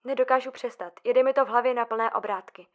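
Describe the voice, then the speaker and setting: High-voiced anxious patient played by a woman. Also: fairly bright tone, dull audio, lightly acted. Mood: rising panic. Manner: nervous, halting. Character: anxious, fragile female psychiatric patient. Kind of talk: audio drama dialogue.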